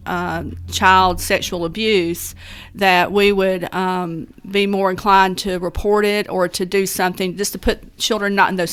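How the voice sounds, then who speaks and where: A woman's voice: medium pitch, fairly bright tone, clear, resonant tone. A woman, a Sunday school teacher, talking earnestly as a guest on a radio interview, with many fillers and restarts. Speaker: guest, Rotary Club member. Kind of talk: casual radio interview talk. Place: radio studio.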